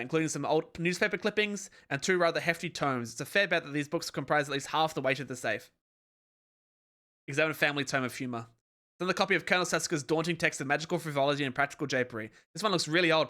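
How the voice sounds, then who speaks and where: A man reading a webcomic aloud to his stream in a calm, relaxed way. His voice sits a little high and rings bright and forward.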